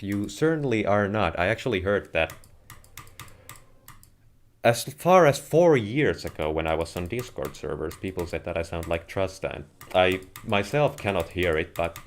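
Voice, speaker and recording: A man with a low voice and a Finnish accent talks casually through a gaming livestream. His phrasing is measured and a little formal as he addresses viewers. Keyboard clicks and slight noise sit behind his voice. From Finland. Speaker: live streamer playing a video game. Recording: noisy home stream with keyboard clicks. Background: keyboard and mouse clicking.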